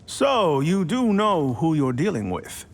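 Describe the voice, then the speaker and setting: An actor playing a proud, menacing desert tribe leader in a video game, haughty and skeptical, with music under the voice. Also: male voice, a little high, very animated, slow pace, vocal fry, a little hiss or hum, exaggerated voice. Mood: haughty, suspicious.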